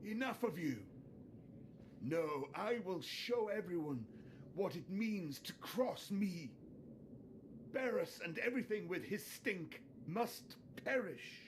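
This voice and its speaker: Theatrical sneering villain, widely swinging pitch. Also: male voice, lively intonation, slight background noise. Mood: enraged. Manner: theatrical, taunting, drawn-out delivery. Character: sneering, vengeful Sith lord villain, contemptuous. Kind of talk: video game voice acting.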